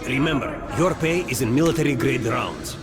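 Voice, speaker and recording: An actor playing a friendly, chatty military quartermaster in a video game, genial and instructive. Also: male voice, medium pitch, animated, normal speed, close to an everyday voice. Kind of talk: video game tutorial dialogue. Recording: loud music behind the voice.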